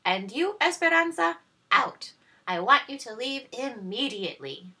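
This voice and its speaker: A woman's voice: sits high, very animated, a little slow, pushed and bright, pitched above the performer's own voice, full character voice. A woman voicing a cold, haughty stepmother in a children's storybook, speaking in an icy, commanding manner. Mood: angry, commanding. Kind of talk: read-aloud children's storybook.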